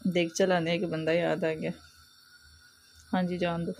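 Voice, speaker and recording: A woman's voice: fairly low pitch, flat delivery, measured pace, gravelly. A woman with a Punjabi accent chatting casually as she cooks in a home kitchen. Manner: chatty, narrates while cooking. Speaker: vlogger. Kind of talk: casual cooking commentary. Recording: home video with loud kitchen noise.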